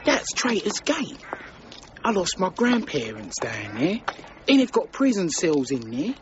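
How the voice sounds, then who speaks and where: Doubtful, hesitant crook's sidekick, very animated, dull and noisy radio drama sound. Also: male voice, high-pitched, unhurried, heavily acted. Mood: uneasy. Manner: uneasy, hesitant. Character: nervous, doubtful male sidekick of a swindler. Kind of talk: period radio drama acting.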